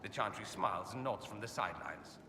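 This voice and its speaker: Video game mage, male, played by an actor: suave, haughty, sardonic, highly animated intonation, recorded distant in a reverberant hall. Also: brisk, close to an everyday voice. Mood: sardonic, amused. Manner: suave, sardonic, theatrically arch. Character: witty, haughty adult male mage from a fantasy empire. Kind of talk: video game character dialogue. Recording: echoey hall, clear background ambience noise.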